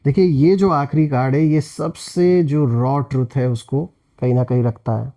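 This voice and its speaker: A man's voice: mid-range voice, fairly even intonation, moderate pace, warm tone. A man giving a tarot reading as host, explaining things and addressing viewers directly as friends. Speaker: tarot card reader and host.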